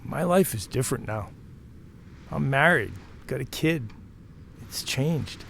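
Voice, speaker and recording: A man's voice: mid-range voice, animated, takes it slowly, vocal fry, fairly bright tone, a little hiss or hum, heavily acted. A man playing a frank, protective married mill worker and former lover in an audio drama, talking in a plain, reassuring, conversational way. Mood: resigned.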